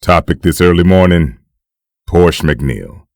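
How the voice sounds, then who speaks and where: Man as a very deep, gravelly narrator. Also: lively intonation, takes it slowly, a little mellow, dry recording, studio quality, heavily acted. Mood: grave, measured. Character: grave omniscient narrator of a dark comic. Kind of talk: scripted dramatic comic narration.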